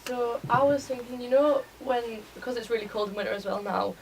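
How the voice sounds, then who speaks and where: A woman's voice: fairly high pitch, flat delivery, brisk. A young woman, a college student talking through plans in a casual, tentative class presentation. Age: young adult. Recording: distant mic, faint room noise.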